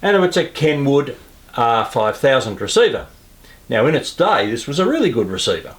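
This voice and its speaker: A man casually explaining things as host of a hobby radio video, in an unhurried, understated, conversational way. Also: medium pitch, big pitch swings, breathy edge.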